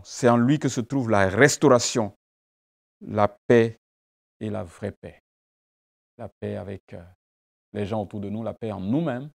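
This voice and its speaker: A male pastor with a low, gravelly voice preaches a devotional meditation. He builds his points through emphatic repetition and rhetorical questions. French is not his first language. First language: a language other than French. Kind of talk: devotional sermon and prayer.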